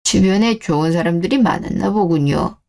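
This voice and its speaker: Mellow, condescending mother-in-law played by a woman. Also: fairly low pitch, moderate pace, natural voice. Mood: coolly polite. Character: condescending middle-aged mother-in-law, polite but status-conscious. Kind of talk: scripted story-radio drama.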